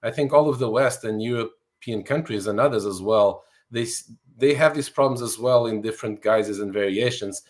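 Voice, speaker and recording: A man in his sixties from Portugal talks through his ideas as an interview guest. He explains things earnestly and fluently, with a few restarts, at a middle pitch. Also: moderate pace, hardly any pauses. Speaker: author and guest. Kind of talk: interview answers.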